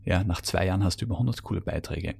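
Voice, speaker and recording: Male online-marketing coach, deep voice, slightly raspy, addresses the viewer directly, stumbles and corrects himself. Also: fast, darkish tone. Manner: talks straight to the viewer, with frequent fillers and self-corrections; mixes English business terms into German speech. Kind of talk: solo teaching monologue on content marketing.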